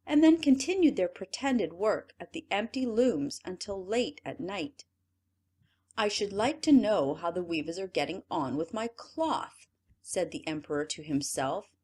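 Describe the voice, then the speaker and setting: A native English-speaking woman narrating a fairy tale audiobook in an expressive, measured way. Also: mid-range voice. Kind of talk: storybook narration.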